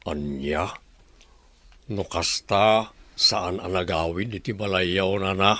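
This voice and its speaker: A man's voice: low-pitched, slow, bright, forceful tone, dry recording, heavily acted. A man playing an earnest, concerned young friend in a Filipino audio drama. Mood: explaining.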